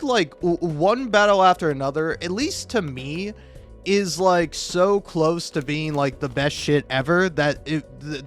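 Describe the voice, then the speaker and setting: Stammering, higher-voiced American man chatting casually. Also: a bit bright. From Florida, United States. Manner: hesitant, stammering, repeats words, self-deprecating. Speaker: gaming video creator. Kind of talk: casual rambling chat over gameplay. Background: music.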